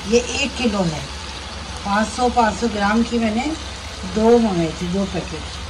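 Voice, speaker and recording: A woman's voice: medium pitch, measured pace, noisy background. A woman with a Pakistani Urdu accent hosting a home cooking video, chatting casually as she explains each step in her kitchen. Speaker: cooking channel host. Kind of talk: casual cooking demonstration voice-over. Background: background music.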